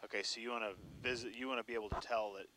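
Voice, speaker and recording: A man's voice: medium pitch, steady tone, rapid-fire, bright, forceful tone, low-quality recording. A man on a TV studio crew talking casually through work details.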